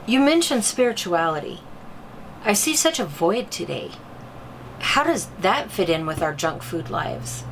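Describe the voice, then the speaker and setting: A woman's voice: on the low side, very animated, a little slow, a little hiss or hum, rough recording. A woman playing a concerned, curious mother who interviews an expert in a scripted drama, wide-eyed and sympathetic. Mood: concerned, questioning. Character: concerned, curious mother seeking diet advice. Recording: clear music bed behind the voice.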